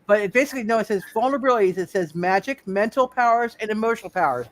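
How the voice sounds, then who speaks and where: A man running a casual tabletop roleplay game as game master, halting and repeating phrases as he goes. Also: sits high, expressive, a bit bright.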